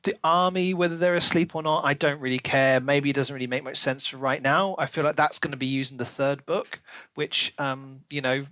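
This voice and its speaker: A man's voice: mid-range voice, steady tone, fairly quick, pushed and bright, no room sound. A man co-hosting a podcast, talking casually and emphatically, with firm opinions, in a book discussion. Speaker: podcast co-host reviewing books.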